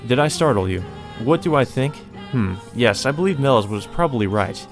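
A man's voice: medium pitch, expressive, moderate pace. A man reading a visual novel aloud as a let's player, with informal, colloquial delivery. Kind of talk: visual novel read-aloud with casual commentary. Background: game music.

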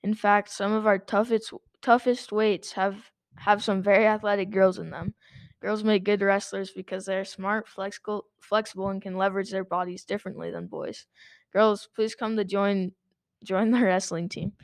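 A man's voice: high-pitched, little pitch movement, normal speed, creaky, dry recording. A teenage boy, the student body president, reading school announcements upbeat on a handheld mic. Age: teen.

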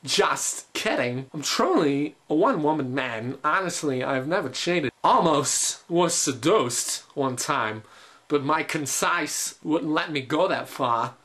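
A man in his twenties giving a comedic dramatic reading with theatrical, deliberate phrasing. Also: on the high side, animated, bright, forceful tone. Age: 20s. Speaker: comic performer. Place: small indoor room.

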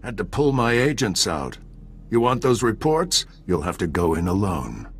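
An actor playing a sober, stubborn intelligence chief in a video game, clipped and businesslike. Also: male voice, on the low side, very animated, normal speed.

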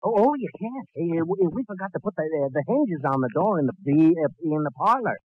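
High-voiced stammering young nephew. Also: male voice, very animated, slightly rough, no echo. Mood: flustered. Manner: stuttering, halting, repeats words. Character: timid, stammering young nephew, eager fix-it helper. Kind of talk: 1940s radio comedy acting. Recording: muffled old 1940s radio recording.

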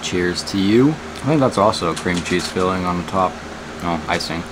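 Chill guy in his 20s vlogging, kinda low voice, noisy camera audio w/ ambient hum. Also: expressive, normal speed. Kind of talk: casual vlog chat.

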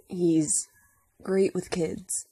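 A woman's voice: fairly low pitch, fairly even intonation, very slow, dark tone, slightly rough, dry recording. A woman acting out dialogue as an amateur voice actor in a comic dub.

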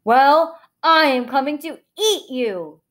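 A woman voicing a big, mean, hungry male troll in a storybook, booming and growling with drawn-out, demanding words. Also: animated, fairly bright tone, full character voice. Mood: greedy, menacing. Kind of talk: children's storybook character voice.

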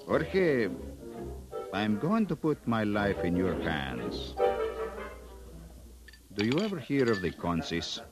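A friendly master workman with a secret rebel cause in 1950s radio drama. His pitch swings widely and he speaks slowly, coaxing his listener in an earnest, familiar way. Music plays underneath. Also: sing-song. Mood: earnest, secretive.

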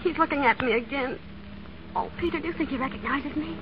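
A woman's voice: high voice, a little fast, natural voice. An actress playing a pampered, plaintive, spirited young woman in a 1930s radio drama. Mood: anxious. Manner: plaintive, questioning. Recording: dull, noisy 1930s radio recording.

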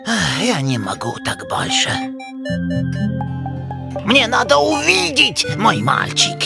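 A man voicing a sentimental, doting penguin inventor father in a cartoon, with a German accent, broken grammar and a husky, gravelly, emotional delivery. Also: high voice, wildly swooping pitch, slow, over loud music, heavily acted. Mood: wistful, worried. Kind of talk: animated cartoon dialogue.

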